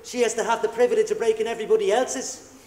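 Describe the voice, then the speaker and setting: An actor playing a sarcastic, weary young lodger making muttered asides on stage. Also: male voice, high voice, fairly even intonation, fast talker, a little mellow, close to an everyday voice. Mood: sardonic. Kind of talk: stage play acting. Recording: echoey hall, far from mic.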